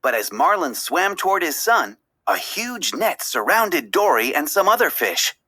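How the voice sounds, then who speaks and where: A woman reads a children's story aloud with expressive, dramatic phrasing. Her voice is bright and very animated, yet she takes her time over each phrase. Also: low voice, unhurried, breathy edge. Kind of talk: expressive read-aloud narration.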